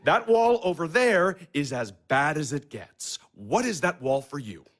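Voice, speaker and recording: A man's voice: on the high side, sing-song, unhurried. An actor playing a bitter, defensive and sarcastic man in a naturalistic audio drama, talking bluntly and combatively with plenty of swearing. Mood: argumentative, dismissive.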